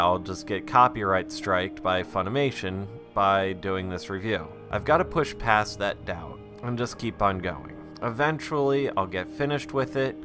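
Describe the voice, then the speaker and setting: A man speaking in a casual, self-deprecating ramble, like an audio diary for his channel. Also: on the low side. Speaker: YouTube creator recording an audio diary. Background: music.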